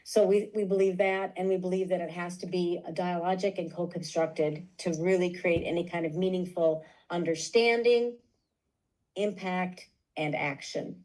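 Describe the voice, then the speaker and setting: Woman professor answering on a zoom call, lowish mellow voice, explains with stories. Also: fairly even intonation, normal speed, low-quality recording. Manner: explanatory, illustrates points with stories. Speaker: guest lecturer. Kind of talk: academic lecture and Q&A answer. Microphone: video call.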